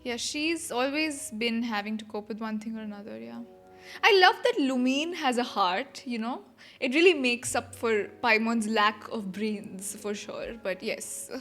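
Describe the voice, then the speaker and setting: A woman streaming a game gives casual, conversational reactions. Her voice sits fairly high, and faint music plays behind her. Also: unhurried, a bit bright. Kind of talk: casual live reaction commentary.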